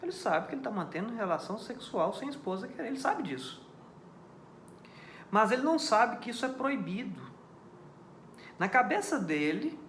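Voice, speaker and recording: A man's voice: sits high, a bit bright, slight background noise. Man lecturing in an echoey room. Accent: Brazilian Portuguese. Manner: didactic, repeats key points, checks understanding with rhetorical questions. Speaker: law lecturer. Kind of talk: recorded lecture on criminal law.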